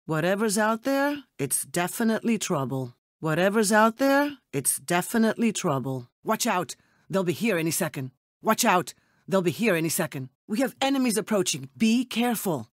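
A woman playing a cool, poised and wry double agent in an animated video game. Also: medium pitch, moderate pace, no echo, studio quality, lightly acted. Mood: urgent alarm.

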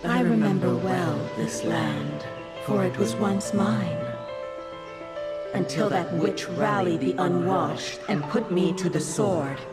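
A vengeful ancient spirit queen from a video game. The speech is slow, regal and menacing, with archaic wording, set against very loud music. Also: lowish voice. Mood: haughty, menacing.

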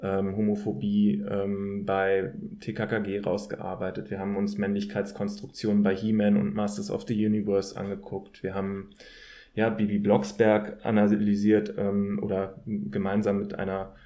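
A man speaking as an academic podcast guest, explaining conversationally in measured, hesitant phrases. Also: fairly low pitch, completely flat delivery, mellow. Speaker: podcast guest, political scientist.

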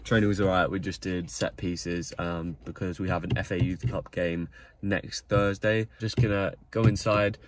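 Teen male vlogger, low flat voice, laid-back chatty talk, phone at arm's length, faint background noise. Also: moderate pace. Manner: relaxed, chatty, low-key. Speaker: vlogger, youth footballer. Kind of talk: casual vlog to camera. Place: gym, kitchen, bedroom and car. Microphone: phone held at arm's length.

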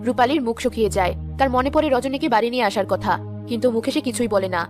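A woman narrating a children's cartoon fairy tale in a plain, steady way. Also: fairly high pitch, very fast. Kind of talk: storybook narration. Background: background music.

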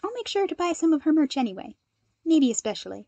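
A woman voicing an earnest, cheerful, analytical young man with an eager, animated manner in a story reading. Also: high voice, fast talker, no room sound, voice pushed up. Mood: playful.

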